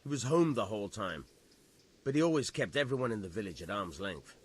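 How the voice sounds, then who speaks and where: An actor playing a gruff, weary village bailiff in a video game, blunt and grudging in how he talks. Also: fairly low pitch, lively intonation, brisk. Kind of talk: video game cutscene acting.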